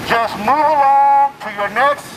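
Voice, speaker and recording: A man's voice: extremely high pitch, a little slow. A man giving a sarcastic, declamatory protest speech through a megaphone outdoors, with mock-pleading appeals. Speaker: street protester. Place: outdoors on a city street. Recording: loud street noise, megaphone outdoors.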